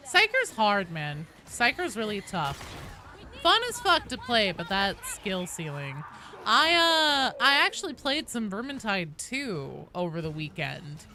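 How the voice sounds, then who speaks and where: A woman's voice: medium pitch, very animated, a little slow, pushed and bright, slight background noise. A woman game streamer chatting casually while playing, joking and swearing in loose slang.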